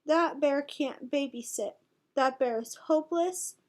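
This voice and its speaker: A woman voicing excitable, cheeky little bunny children from a picture book, in a dramatic, childlike way. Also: sits high, a little slow. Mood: whiny, miserable.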